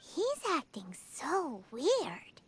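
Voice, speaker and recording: A woman voicing a small, childlike fairy companion in a video game, squeaky, cheeky and blunt. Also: huge pitch swings, drawn-out words, noisy background, full character voice. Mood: skeptical. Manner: refers to self in third person.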